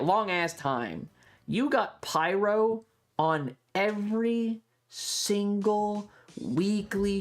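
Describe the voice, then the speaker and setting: A livestreamer talks in a casual, rambling way with an emphatic, ranting edge and plenty of swearing. The voice sits in a middle register. Instrumental music with guitar plays behind it. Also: mid-range voice. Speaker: livestream host.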